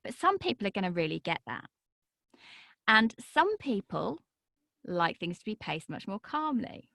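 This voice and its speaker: An animated woman speaks as an interview guest, her pitch swinging high then dropping back down. She sounds natural and unhurried in her storytelling. The recording is dry and close to the mic, with no room sound. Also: creaky, dry recording. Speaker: podcast guest, voice and podcast coach. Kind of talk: energetic interview talk.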